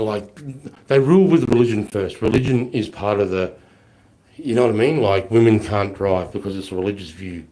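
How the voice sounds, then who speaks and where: Aussie bloke in his 40s, lowish voice, flu-ish, swears a lot, rambles thru live q&a. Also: a little mellow, poor audio. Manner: blunt, rambling, heavy swearing. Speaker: livestreamer answering viewer questions. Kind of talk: casual live Q&A chat.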